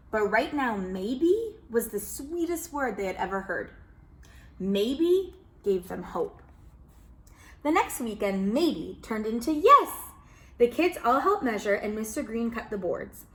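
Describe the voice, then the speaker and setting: A woman reading a children's picture book aloud, expressive and animated, calling listeners friends. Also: a little high, unhurried. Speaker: children's storyteller. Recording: roomy echo.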